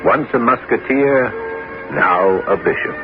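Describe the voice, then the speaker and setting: An actor in a 1970s radio drama playing an adventurous former musketeer turned bishop, courteous and gently probing, in a measured theatrical manner. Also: male voice, medium pitch, natural voice. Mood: storytelling, resolute. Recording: dull 1970s radio recording with music.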